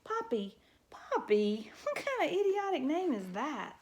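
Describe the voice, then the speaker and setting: A woman's voice: a little high, big pitch swings, measured pace, darkish tone. A woman voicing a grumpy old male porcupine who bellows and screeches scornful insults in a storybook reading.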